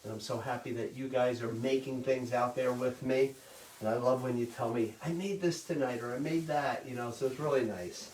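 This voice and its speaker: A middle-aged man, hosting a live virtual cooking class and chatting loudly and warmly to his viewers. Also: medium pitch, moderate pace, reverberant, far from the mic, slight background noise, low-quality recording. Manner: loud, chatty, talks straight to viewers.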